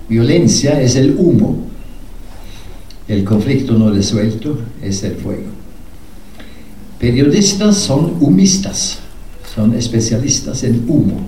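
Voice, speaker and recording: Slow, mellow older man lecturing in a hall. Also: mid-range voice, a little hiss or hum. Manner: measured, emphatic, pausing between short phrases. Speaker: peace researcher giving a lecture. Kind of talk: public lecture. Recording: echoey hall, speaker far from mic.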